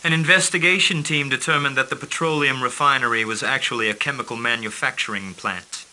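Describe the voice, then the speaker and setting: An actor playing a calm, formal male mercenary liaison who briefs a commander on missions in a video game. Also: mid-range voice, brisk. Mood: composed. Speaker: mission handler.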